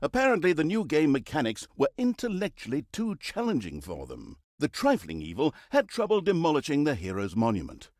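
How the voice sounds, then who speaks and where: Wildly expressive, sardonic game narrator. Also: male voice, a little high, brisk, hardly any pauses, dry recording, studio quality. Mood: mock-grand storytelling. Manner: theatrical, sardonic, mock-pompous. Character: mocking, theatrical male narrator of villainous deeds. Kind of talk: comic scripted narration.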